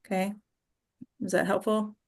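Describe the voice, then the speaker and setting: A woman's voice: medium pitch, little pitch movement, unhurried. A woman acting coach teaching in a casual question-and-answer style, direct and instructive, often checking understanding with short tag questions.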